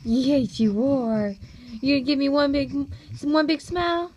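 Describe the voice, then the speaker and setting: A woman talking baby talk to her infant, in a slow, repetitive sing-song. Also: high voice, animated, darkish tone. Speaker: parent. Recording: slightly dull home recording, faint noise.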